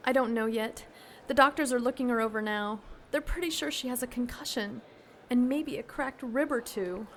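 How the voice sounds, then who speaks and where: Worried, higher-voiced client. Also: female voice, normal speed, a bit bright, slight background noise. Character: worried, earnest woman seeking a detective's help. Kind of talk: audio drama dialogue.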